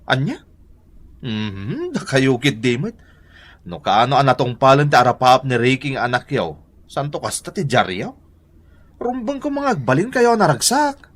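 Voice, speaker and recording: A man's voice: mid-range voice, big pitch swings, moderate pace. A man acting a cheerful, teasing family friend in a Filipino radio comedy drama, chatty and with an Ilocano accent. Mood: curious, upbeat.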